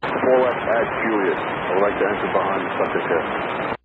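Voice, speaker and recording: Low-voiced man on very noisy radio. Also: a little fast. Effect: two-way radio. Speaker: airport ground vehicle operator. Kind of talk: procedural radio calls. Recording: tinny radio, loud static.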